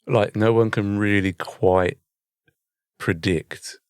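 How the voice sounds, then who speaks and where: A British man with a fairly low voice talks casually as a podcast co-host, recorded close and dry. He rambles in a bemused way, often reaching for the right word. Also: takes it slowly, clear, resonant tone, no echo. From United Kingdom.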